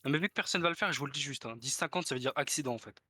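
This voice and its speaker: A young man joking loudly and bantering with friends in a voice chat. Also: mid-range voice, racing. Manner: boisterous, jokey, jumping into squeals. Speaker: gamer in group voice chat. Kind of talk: casual banter.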